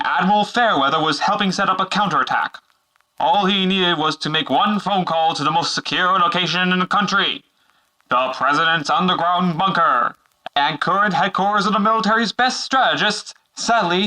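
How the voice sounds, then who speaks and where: A man's voice: on the high side, normal speed, bright, forceful tone, rough recording. A man reading scripted dramatic narration for an adventure story. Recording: music behind the voice.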